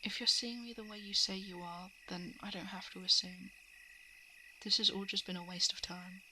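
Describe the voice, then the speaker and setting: A woman plays a female shapeshifting monster in an ASMR roleplay, guarded and coolly predatory. Her pitch is average for a woman, and she slips into hushed asides. Crickets and frogs sound clearly behind her. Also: level tone, moderate pace. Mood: dismissive, wistful. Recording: clear background noise of night insects, frogs. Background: crickets, frogs and night insect ambience.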